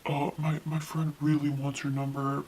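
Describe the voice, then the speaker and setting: Woman doing a gruff pushy customer, super low and mocking, bit of hiss. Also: little pitch movement, normal speed, darkish tone, natural voice, poor audio. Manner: mocking, exaggerated quoting.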